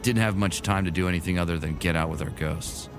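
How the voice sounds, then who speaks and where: A man's voice: sits low, quick pace, over music, natural voice. A man playing a weary, remorseful futuristic soldier in an earnest, brooding way, narrating and speaking in a sci-fi audio drama. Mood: grave.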